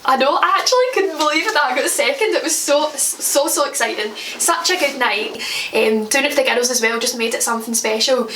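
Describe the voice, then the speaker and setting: A young Scottish woman in her twenties talks casually to camera from her home, chatty and quick to laugh at her own slip-ups. Her voice sits high. The room sounds roomy, and she is set back from the mic. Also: pushed and bright, distant mic, a little hiss or hum. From Scotland. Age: 20s. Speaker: makeup vlogger. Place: at home, indoors.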